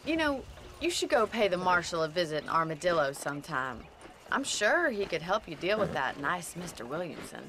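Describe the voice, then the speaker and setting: A woman's voice: lowish voice, sing-song, fairly bright tone, slight background noise. A teasing young ranch woman. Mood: friendly. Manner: teasing, brisk, plainspoken. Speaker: ranch owner. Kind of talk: video game cutscene dialogue.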